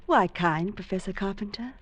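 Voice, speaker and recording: An actress playing a friendly young female bookshop clerk in an old-time radio drama, sweet and flattering. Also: mid-range voice, moderate pace, natural voice.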